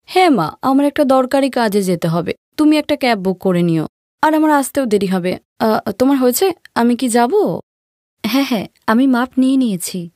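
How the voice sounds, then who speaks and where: A woman voicing a headstrong, dismissive young bride-to-be in an animated story, impatient and defiant. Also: on the high side, normal speed, no room sound, pitched above the performer's own voice, lightly acted. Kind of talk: Bengali animated moral story acting.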